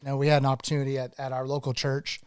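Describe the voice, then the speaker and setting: A man from Maine, a guest on a podcast, telling stories about his life in a relaxed, thoughtful way. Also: mid-range voice, racing, smooth, no room sound, clean studio sound. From Maine, USA. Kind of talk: casual interview chat.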